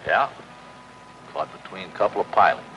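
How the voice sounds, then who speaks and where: Cynical middle-aged diver, deep voice, swooping wisecrack delivery, mercenary, 1950s TV drama. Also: male voice, lively intonation, normal speed, dull audio, slight background noise. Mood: grudging. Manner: sardonic, wisecracking. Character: cynical, mercenary, world-weary male deep-sea diver. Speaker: actor playing a salvage diver. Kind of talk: 1950s television crime drama acting.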